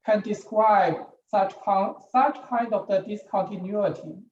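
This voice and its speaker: A woman's voice: mid-range voice, a little slow, a little mellow. A woman asking an academic question at an online seminar, in tentative, repetitive phrasing, over poor call audio. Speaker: audience questioner. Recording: roomy, distant, muffled, noisy call audio.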